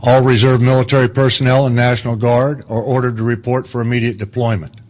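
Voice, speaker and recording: A man playing a stern defense secretary reading out emergency orders in a radio drama, firm and measured. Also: medium pitch, flat delivery, lightly acted. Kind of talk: radio drama press briefing acting.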